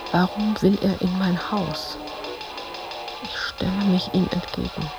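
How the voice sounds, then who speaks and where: An actress playing an intense, uneasy woman in a literary radio play, narrating her memories and fears in terse, clipped phrases with a creaky voice. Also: lowish voice, fairly even intonation, measured pace, over music. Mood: tense, watchful. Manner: terse, clipped phrases, at times near whispering.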